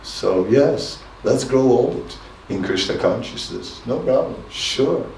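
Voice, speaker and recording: A man's voice: medium pitch, expressive, slow pace, a little mellow, slight background noise. An older man, a monk giving a temple class, telling stories in an easy conversational way and chuckling often. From Netherlands. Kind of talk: temple lecture with anecdotes. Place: temple hall. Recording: roomy hall from a distance.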